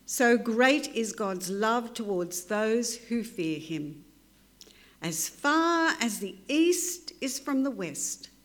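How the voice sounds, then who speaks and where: A woman leading a church service, reciting confession and prayers in a slow, solemn, prayerful way. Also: medium pitch, a bit bright. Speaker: service leader.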